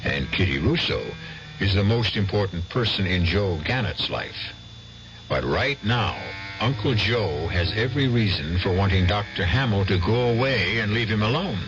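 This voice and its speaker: A low-voiced man narrates a radio mystery with expressive, swinging intonation. He tells the story deliberately, on a muffled old broadcast with clear noise. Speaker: radio drama host and narrator. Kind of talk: scripted dramatic narration.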